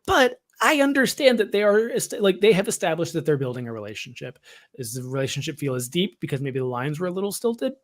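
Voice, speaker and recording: A man co-hosting a fan podcast, talking casually and explaining his views in a conversational way. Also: fairly high pitch. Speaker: podcast co-host. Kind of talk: casual fan discussion of Star Wars.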